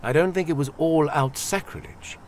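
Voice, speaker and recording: A man playing a calm, fatherly high priest in a fantasy audio drama, speaking in a measured, gently admonishing way. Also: a crowd in the background, close to an everyday voice. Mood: calm, reassuring.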